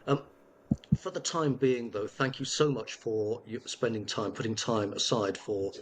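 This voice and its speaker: A man interviewing a police officer, asking long, formal questions in a halting way with many pauses. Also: medium pitch, a little hiss or hum.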